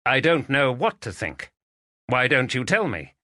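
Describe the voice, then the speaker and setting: A man playing a composed, gallant English gentleman detective, firm, measured and courteous. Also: medium pitch, very animated, no room sound, clean studio sound, voice pushed up. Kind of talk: audiobook character voice.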